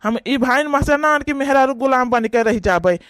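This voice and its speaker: A man's voice: very high-pitched, expressive, moderate pace, a bit bright, clean tone, no echo, clean studio sound. A man voicing an indignant young brother in a village family cartoon, arguing and scolding with pointed questions to protect his sister. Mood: defiant. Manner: argumentative, scolding, rhetorical questions. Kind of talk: rural family cartoon dialogue.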